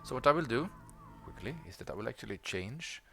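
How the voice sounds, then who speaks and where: A man's voice: medium pitch, expressive, a little fast, vocal fry, fairly bright tone. A man hosting a gaming video with casual let's play commentary, thinking aloud as he plays. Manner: thinks aloud while playing.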